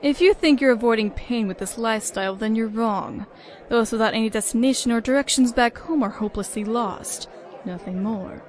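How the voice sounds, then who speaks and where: A woman's voice: on the high side, brisk, darkish tone, close to an everyday voice. A woman playing a shrewd, blunt mercenary in a fantasy audio drama, talking in a pointed, knowing way. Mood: stern. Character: shrewd, blunt female mercenary nicknamed Granny.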